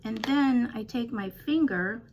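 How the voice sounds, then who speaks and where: Chatty older woman, slightly raspy. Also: a little high, measured pace, darkish tone. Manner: chatty, rambling, self-deprecating asides. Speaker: beauty vlogger. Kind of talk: casual makeup tutorial.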